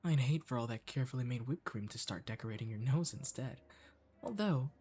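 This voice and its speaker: A man as a sweet, animated catboy waiter. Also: medium pitch, a little fast, slightly rough. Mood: playful, flustered. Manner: courtly, coaxing, gently playful. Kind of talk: flirty comfort ASMR roleplay.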